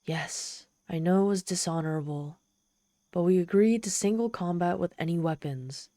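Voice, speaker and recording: A woman plays a haughty female demon telling her tale straight to the listener in an ASMR roleplay. Her voice is slightly low and mellow, with a dry, roomless sound. She is theatrical and proud, yet easily flustered and exasperated. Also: dry recording, natural voice. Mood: embarrassed, grudging. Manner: haughty, theatrical, halting when embarrassed.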